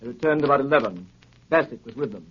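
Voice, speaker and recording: An actor playing a dutiful police inspector undercover as a butler, husky, deferential and matter-of-fact, in 1930s radio drama. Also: male voice, medium pitch, expressive, fast talker. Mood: earnest, reporting. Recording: dull 1930s radio recording.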